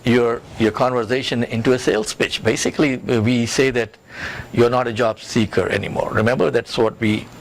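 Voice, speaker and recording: A man's voice: mid-range voice, steady tone, a little fast. A man giving career advice in an interview as a coach, with an instructive, emphatic manner that repeats key points. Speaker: career coach and job search expert. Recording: clearly noisy background.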